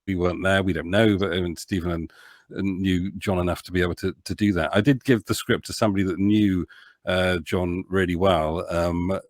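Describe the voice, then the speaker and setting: Very deep-voiced man talking, hesitant and earnest. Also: fairly even intonation, fairly quick, no echo, clean studio sound. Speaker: podcast guest, audio drama producer. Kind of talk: casual interview talk.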